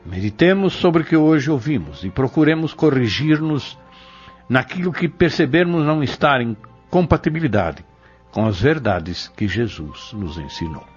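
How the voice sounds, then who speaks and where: A man's voice: mid-range voice, lively intonation, moderate pace, husky. A man delivering a solemn, didactic spiritual commentary on the radio. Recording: dull lo-fi radio, music behind.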